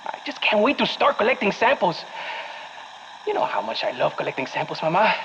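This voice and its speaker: An actor playing an eager, affectionate scientist with a Latin American Spanish accent, leaving a chatty message in a game. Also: male voice, high-pitched, very animated, a little fast, gravelly, exaggerated voice. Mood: excited, proud. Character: eager, excitable male scientist, affectionate son. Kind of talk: scripted voicemail monologue. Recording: dull, faintly noisy phone message.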